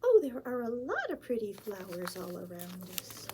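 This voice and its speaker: A woman's voice: mid-range voice, big pitch swings, normal speed, very noisy. A woman voicing a tiny cheerful young mouse who keeps asking eager questions.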